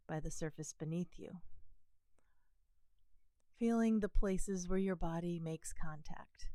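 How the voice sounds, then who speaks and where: Mellow, soothing woman guiding meditation. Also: lowish voice, no room sound. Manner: gentle, calm, soothing delivery with pauses. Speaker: meditation teacher. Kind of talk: guided body scan meditation.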